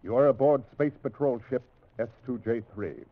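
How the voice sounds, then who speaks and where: Tinny vintage radio sound, man playing stern alien starship captain, formal, measured, imperious, science fiction drama. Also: mid-range voice, lightly acted. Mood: formal, commanding. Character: stern alien spaceship captain of a galactic guard. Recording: muffled, tinny old radio recording.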